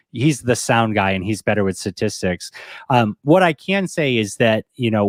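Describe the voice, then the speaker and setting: A man's voice: on the low side, no echo, clean studio sound. A man speaking as an expert guest, giving informal, plainly explained answers with examples in an interview. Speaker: podcast industry expert guest.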